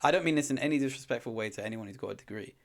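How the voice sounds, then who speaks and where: A man talks quickly in a loose podcast conversation, tripping over the first words of his sentences and laughing along as he goes. His voice sits at a middle pitch. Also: animated. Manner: quick, chatty, laughs often, stammers at starts. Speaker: podcast co-host. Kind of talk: casual chat.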